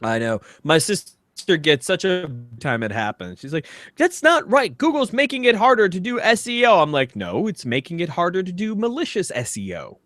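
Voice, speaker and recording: An amused man with a slightly high, very animated voice, co-hosting a casual comedy podcast. He rambles along easily and slips between loose chat and mock-dramatic reading. The sound is close and dry. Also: moderate pace, hardly any pauses, dry recording. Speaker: podcast co-host.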